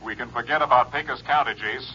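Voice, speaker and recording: A worried ranger officer in an old radio drama. His American voice is husky and forceful, with clipped, urgent delivery. The sound is dull and dated. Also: mid-range voice, quick pace. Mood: grim, urgent. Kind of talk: 1950s radio western acting.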